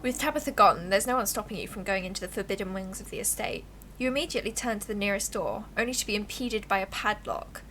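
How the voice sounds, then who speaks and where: A woman livestreamer giving casual, expressive, giggly game commentary and reading the game's text aloud. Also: mid-range voice, fast, slight background noise, rough recording. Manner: giggly, expressive, reacts out loud.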